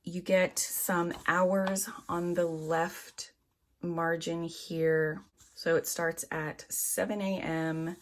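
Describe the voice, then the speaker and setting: A woman giving a calm, casual walkthrough of a planner in a vlog, explaining each detail. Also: sits low. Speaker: planner and journal vlogger.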